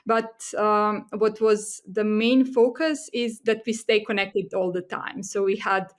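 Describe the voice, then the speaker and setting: Female podcast guest, academic expert with a Croatian accent, medium pitch, explains in a halting conversational way. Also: steady tone. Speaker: guest expert, assistant professor.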